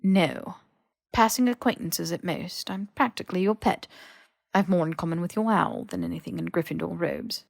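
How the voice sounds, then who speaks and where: A woman's voice: on the low side, moderate pace, creaky, no room sound, studio quality. A woman voicing a haughty, snippy young male wizard with sneering, clipped, posh phrasing in an audiobook. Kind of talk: audiobook character voice.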